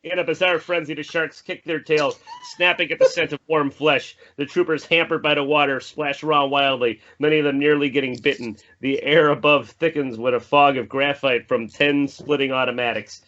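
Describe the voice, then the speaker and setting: A man's voice: on the high side, fairly even intonation, moderate pace, few pauses, bright, forceful tone. A man reading out the stage directions of a horror screenplay as narrator at a table read. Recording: slightly dull mic, faint noise.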